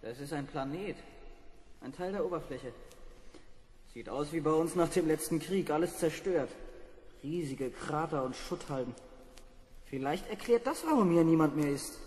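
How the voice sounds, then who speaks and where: A man playing a defensive, excitable spaceship officer in a German audio drama, with emphatic, argumentative diction. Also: fairly high pitch, normal speed, long pauses, lightly acted. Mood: intrigued. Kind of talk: 1970s German children's audio drama acting. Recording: reverberant vintage audio drama.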